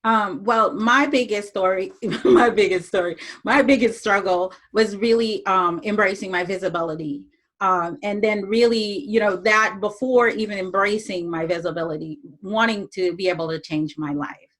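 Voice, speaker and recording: A woman's voice: medium pitch, normal speed, a bit bright. A woman from Jamaica, a podcast guest, talking through her story in a reflective way with many fillers and restarts. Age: adult, moved abroad as young teen. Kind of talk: casual interview talk.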